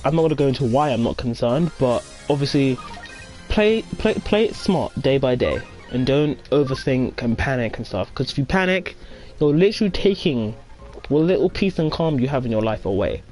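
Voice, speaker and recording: A man's voice: mid-range voice, sing-song, normal speed, darkish tone, faint music behind. A man giving casual, rambling commentary as he plays a game, wandering off into chatty asides and reading the game's dialogue aloud. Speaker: let's play host.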